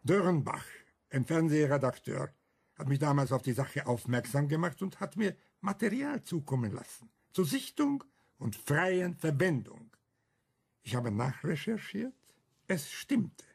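Older male survivor, radio drama, slow deliberate pace, gravelly, bitter, emphatic, principled. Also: a little high, sing-song, heavily acted. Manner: emphatic, argumentative, rhetorical questions. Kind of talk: German radio crime drama acting.

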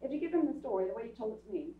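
A woman's voice: a little high, big pitch swings, very fast. A woman acting a matter-of-fact, reserved police officer in a 1950s-style radio drama. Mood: businesslike. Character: businesslike female police officer colleague. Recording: quiet, distant, roomy muffled stage recording.

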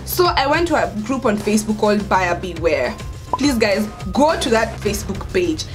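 A young adult woman with a Kenyan accent, telling a story in casual vlog style, expressive and emphatic, repeating words for stress. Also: medium pitch, normal speed, music in the background. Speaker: vlogger, storyteller. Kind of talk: casual storytime chat to camera.

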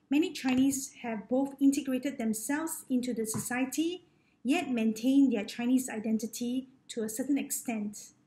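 A middle-aged woman from Malaysia, a researcher who reads her thesis presentation to a webcam in a measured, formal way. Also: high voice, warm tone. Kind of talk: scripted academic presentation. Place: indoor room, on webcam. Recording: echoey room on a laptop mic.

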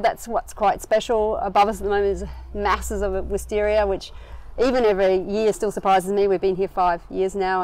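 A woman in her forties with an Australian accent, a winery owner chatting casually in an interview, relaxed and full of ums. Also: medium pitch, low-quality recording. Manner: relaxed, many ums, easy laughs. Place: outdoor garden verandah.

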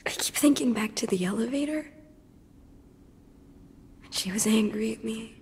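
A woman voicing a hurt, stubborn twelve-year-old child in a video game, raw, defensive and breathy with grief. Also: on the high side, unhurried, a little mellow, exaggerated voice. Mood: quiet, sorrowful. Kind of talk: dramatic video game cutscene acting. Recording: long reverb.

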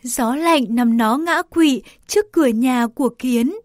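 A woman narrating a scripted children's story in a careful, clearly phrased read-aloud manner. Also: high-pitched, unhurried, no room sound, clean studio sound.

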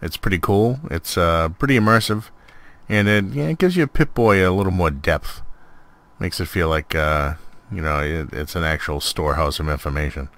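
A man's voice: low-pitched, lively intonation, moderate pace, fairly bright tone. A man hosting a gameplay video with casual, laid-back, rambling commentary. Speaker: video host, mod reviewer.

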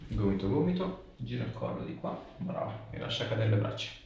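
A man's voice: fairly low pitch, sing-song, brisk, creaky, darkish tone, highs cut off. A man, working as a chiropractor and giving short, casual hands-on directions to a patient. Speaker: chiropractor/osteopath. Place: small clinic treatment room, indoors. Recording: echoey room, distant mic, faint noise.

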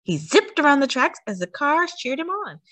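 Female children's storyteller, animated and emphatic read-aloud, very varied intonation, dry sound. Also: sits high, normal speed, no room sound.

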